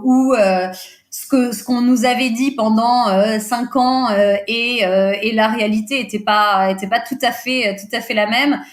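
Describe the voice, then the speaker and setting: Chatty woman, slightly high voice. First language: Portuguese. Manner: hesitant, many fillers, laughs easily. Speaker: podcast guest, recruiter. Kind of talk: casual interview chat.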